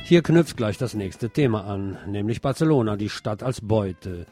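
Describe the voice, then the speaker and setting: A man's voice: lowish voice, animated, moderate pace. A man presenting scripted radio news commentary, with an opinionated manner and wry asides. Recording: music bed behind the voice. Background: music.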